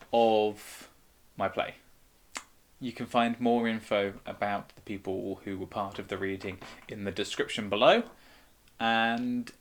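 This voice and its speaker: A young man gives an informal introduction in an ordinary mid-range voice. He speaks slowly and haltingly, pausing often as he finds his way through each sentence. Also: on the low side, a bit bright. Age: young adult. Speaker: playwright introducing his play. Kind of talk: casual video introduction.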